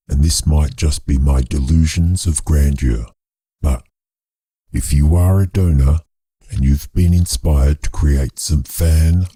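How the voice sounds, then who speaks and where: A man with an extremely deep, very husky voice speaks slowly and formally to his viewers. He is a storyteller making a scripted appeal, wordy and drily playful, with a gravelly edge in a dry, close recording. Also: mellow, no room sound. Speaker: storyteller and creator addressing viewers.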